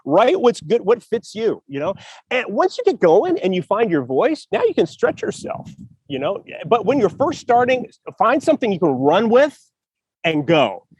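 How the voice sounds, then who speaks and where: A man's voice: high-pitched, wildly swooping pitch, moderate pace, darkish tone, breathy edge, dry recording, rough recording. A man hosting a casual chat about writing, talking loosely with frequent laughs and restarts. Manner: chatty, laughs often, restarts sentences. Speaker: podcast host.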